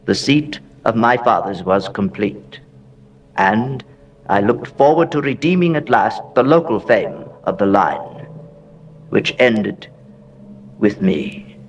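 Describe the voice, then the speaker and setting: An actor playing a haunted, aristocratic heir who recounts Gothic horror in a theatrical, deliberate way with dramatic pauses. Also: male voice, fairly low pitch, lively intonation, distant mic, lo-fi sound, a little hiss or hum. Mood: proud, wistful. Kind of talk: dramatic horror narration, audio drama monologue.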